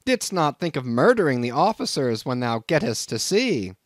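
A man voicing a gruff, suspicious old whaling captain who blusters in archaic thee-and-thou speech. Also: mid-range voice, very animated, moderate pace, no room sound, studio quality. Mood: gruff, blustering. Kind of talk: audiobook character voice.

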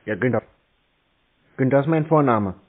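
A man's voice: mid-range voice, expressive, normal speed. A man with a blunt, mocking manner, talking off the cuff in a prank phone conversation. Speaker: scam-baiter taking phone calls. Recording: tinny phone line with background chatter.

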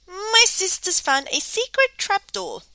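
A woman's voice: extremely high pitch, big pitch swings, measured pace, sharp tone, no room sound, voice pushed up, exaggerated voice. A woman voicing a small, scrawny, cheeky boy in a children's story, matter-of-fact in manner.

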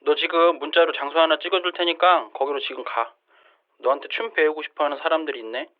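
Male actor as a commanding boss on a phone line, quick and tinny. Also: a little high, fairly even intonation. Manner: brisk and commanding. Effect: telephone filter. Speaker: agency head on the phone.

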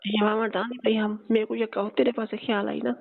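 Creaky-voiced Saraiki woman on the phone. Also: medium pitch, little pitch movement, brisk. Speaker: phone caller. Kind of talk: casual intimate phone chat. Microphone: telephone line. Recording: tinny phone call recording.

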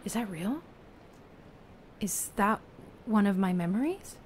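An actress playing a reflective, anguished raft dweller from an ocean world in an audio drama, halting and often hushed. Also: female voice, mid-range voice, lively intonation, slight background noise. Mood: searching, unsure.

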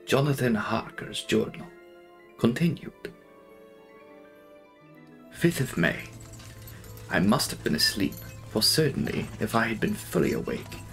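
Theatrical male narrator with creaky, swooping delivery. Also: on the low side. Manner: theatrical, deliberate, formal. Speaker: audiobook narrator. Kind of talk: dramatic reading of a gothic novel.

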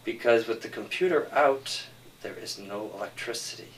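A man's voice: mid-range voice, normal speed. A man in an argumentative group discussion as a screenwriter, insistent and repeating himself, recorded from a distance. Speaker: screenwriter defending his script. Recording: distant mic with some noise.

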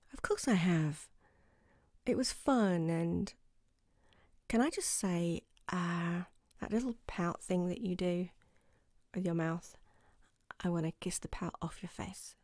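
Low, close, playful girlfriend acted by a woman. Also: slow, darkish tone, no echo. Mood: reassuring, affectionate. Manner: teasing, giggly, sometimes dropping to a whisper. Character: playful affectionate new girlfriend, proud martial arts instructor. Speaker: ASMR roleplay performer. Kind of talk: ASMR girlfriend roleplay, intimate acting. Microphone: close microphone in a dry room.

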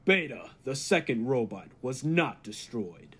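An actor playing a cold, megalomaniac humanlike robot in an old-time radio drama, speaking deliberately and with grandiose menace. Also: male voice, mid-range voice, expressive, fairly bright tone, husky. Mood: menacing. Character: cold, megalomaniac humanlike male robot bent on world mastery.

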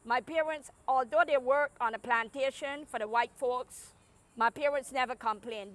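A woman's voice: on the high side, fairly bright tone. A middle-aged woman from Barbados with a Barbadian accent, telling her life story earnestly as an interview guest in an outdoor garden on a lapel mic. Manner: earnest, emphatic storytelling with restarts.

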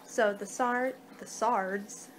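Young adult woman, ASMR fortune-teller role-play, medium pitch, halting delivery, clear background noise, homemade recording. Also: steady tone. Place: small home room, indoors. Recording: noisy amateur webcam-style room recording.

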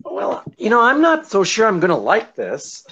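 A man's voice: high voice, huge pitch swings, normal speed, gravelly, pushed and bright, husky, heavily acted. A middle-aged man playing a genial, unflappable detective in old-time radio mystery style, with hesitant, wry interjections. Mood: wry, doubtful. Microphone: video call. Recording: dull lo-fi sound, music behind.

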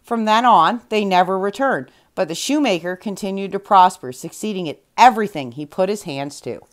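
A woman reads a storybook aloud as a storytime host. Her voice is mid-pitched, and she phrases each sentence in a measured, clear way. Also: lively intonation. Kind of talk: scripted storybook narration.

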